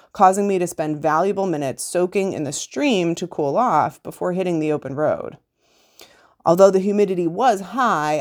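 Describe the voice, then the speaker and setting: A woman's voice: lowish voice, darkish tone. A woman reading a scripted first-person account aloud as a podcast host.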